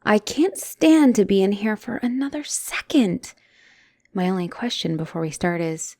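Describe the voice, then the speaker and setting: A woman playing an obsessive, lovestruck asylum patient in a dramatic roleplay audio, theatrical and doting with a menacing edge. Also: mid-range voice. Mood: sly, confident. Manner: theatrical, doting, swinging between gushing and hushed menace.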